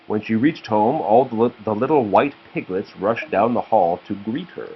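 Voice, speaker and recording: A man's voice: fairly low pitch, normal speed, breathy edge. A man reading a children's story aloud as a father does, patiently stopping to explain words and answer questions. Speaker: father reading a picture book to his children. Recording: dull home recording with faint noise.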